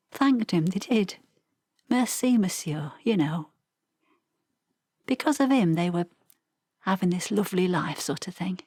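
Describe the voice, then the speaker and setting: An actress playing an elderly veteran's wife with a Northern English accent, reminiscing in a plain, reflective audio-play monologue. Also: female voice, medium pitch, a little mellow, breathy edge, dry recording, clean studio sound, heavily acted. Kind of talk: verbatim theatre monologue.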